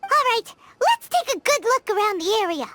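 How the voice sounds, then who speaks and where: A woman voicing a tiny, bubbly fairy girl companion in a game, who chatters excitably and talks about herself in the third person. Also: normal speed, faint music behind, heavily acted. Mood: puzzled. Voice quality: high, squeaky and excitable. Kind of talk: game cutscene voice acting.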